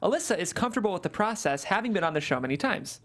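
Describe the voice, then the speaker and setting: A woman reading the stage directions aloud at a script table read, steady and matter-of-fact. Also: mid-range voice, very fast.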